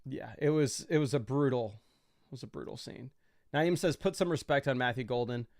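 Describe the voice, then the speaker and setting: A man's voice: medium pitch, normal speed, darkish tone, dry recording, clean studio sound. A young man hosting a fantasy football livestream, talking loosely and casually with lots of fillers as he explains his picks.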